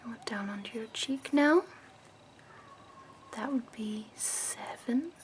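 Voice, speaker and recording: A woman voicing a prim, chipper escort who does your makeup, speaking softly in a half-whisper with fussy, doting praise. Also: a little high, a little hiss or hum. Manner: soft-spoken, half-whispered and slow. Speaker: ASMR roleplay performer. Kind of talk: soft-spoken ASMR roleplay.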